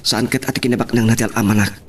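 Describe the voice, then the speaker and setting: Man playing sincere young lover, medium pitch. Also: steady tone, very fast, husky, lightly acted. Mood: earnest. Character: sincere, humble young man devoted to his sweetheart. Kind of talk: radio drama acting.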